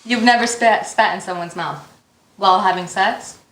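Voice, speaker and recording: A woman's voice: mid-range voice, normal speed. A young American woman, a talk show guest, chatting frankly and playfully and giggling often. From United States. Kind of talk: casual interview chat. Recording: echoey room, faint noise.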